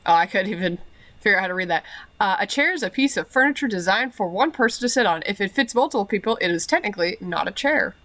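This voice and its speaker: A woman's voice: mid-range voice, expressive, quick pace, fairly bright tone. A woman with a slight cold, chatting casually while playing a video game, with lots of fillers. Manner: chatty, filler-heavy, giggly. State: has a cold. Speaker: let's play streamer. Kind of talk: casual gameplay commentary.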